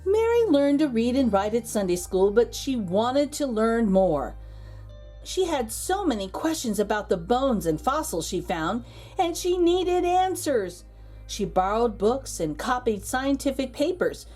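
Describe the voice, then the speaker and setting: Expressive high-pitched woman reading a storybook. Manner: expressive, dramatic emphasis with pauses and hushed asides. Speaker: read-aloud host and storyteller. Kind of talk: children's picture book read-aloud.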